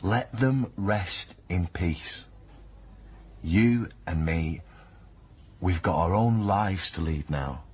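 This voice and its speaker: An actor playing an earnest, concerned partner in naturalistic radio drama dialogue, speaking gently. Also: male voice, sits low, drawn-out words, breathy edge, full character voice. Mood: pleading. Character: earnest, concerned male partner of a troubled woman.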